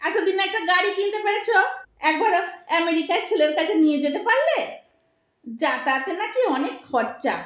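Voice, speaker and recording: A woman playing a sharp-tongued older Bengali wife and mother in an audio drama, scolding with sarcastic emphasis. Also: very high-pitched, lively intonation, fairly quick. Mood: scolding, indignant. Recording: roomy, echoing sound.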